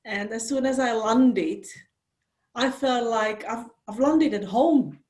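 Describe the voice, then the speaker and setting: A woman's voice: a little high, slow, darkish tone. A woman with a Czech accent, a guest speaker giving an enthusiastic, chatty workshop talk over a video call. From Czech Republic. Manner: enthusiastic, chatty, many fillers.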